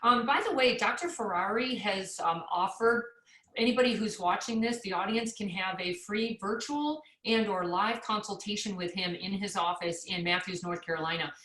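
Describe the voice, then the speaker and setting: Bright-voiced woman host, echoey room. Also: medium pitch, moderate pace. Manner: encouraging, enthusiastic. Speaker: podcast host. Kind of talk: interview.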